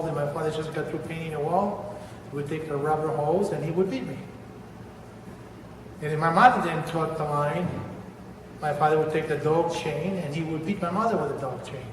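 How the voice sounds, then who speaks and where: Older man with an Argentine accent. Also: on the high side. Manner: earnest, deliberate, repeats words for emphasis. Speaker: church member giving testimony. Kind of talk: personal religious testimony. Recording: echoey hall, voice from far off, noisy.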